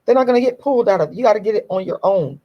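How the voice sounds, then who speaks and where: A woman with a hoarse, deep voice, giving a blunt, emphatic opinion monologue to her online audience and repeating her key points. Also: expressive, rapid-fire, lo-fi sound. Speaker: YouTube commentator.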